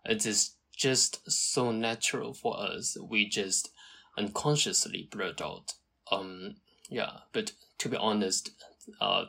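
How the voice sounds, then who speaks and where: Hesitant Hong Kong man, lowish voice. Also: creaky, a bit bright. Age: early 30s. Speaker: podcast guest, climate scientist. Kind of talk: conversational interview answers.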